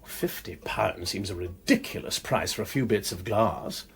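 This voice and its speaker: An actor playing a flippant, witty aristocratic amateur sleuth with an upper-class English accent and a bantering, drawling manner, in a radio drama. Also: male voice, fairly low pitch, moderate pace, gravelly, fairly bright tone. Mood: teasing, playful. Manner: breezy, bantering, drawling exclamations. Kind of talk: BBC radio drama acting.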